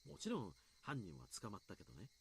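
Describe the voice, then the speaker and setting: A man's voice: medium pitch, huge pitch swings, very fast, gravelly, slight background noise. A man voice-acting in a Japanese visual novel, playing a friendly, chatty adult who recounts grim incidents with dramatic storyteller emphasis. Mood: intrigued, ominous.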